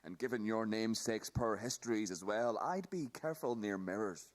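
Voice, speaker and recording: An actor playing a pedantic, sardonic detective in a radio play, with formal, dryly teasing wording. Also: male voice, on the low side, lively intonation, normal speed, natural voice.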